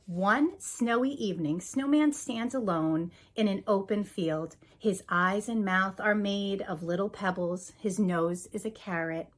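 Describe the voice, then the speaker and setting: A woman's voice: medium pitch, measured pace, few pauses. A woman hosting a children's storytime, talking casually and reading a picture book aloud. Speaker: children's museum staff storytime host.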